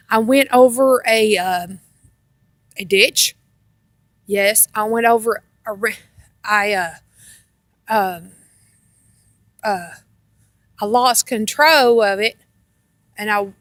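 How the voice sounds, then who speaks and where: A woman casually telling rambling personal stories in a halting, meandering way, often repeating herself. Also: fairly high pitch, fairly bright tone, dry recording. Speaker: storyteller on own video channel.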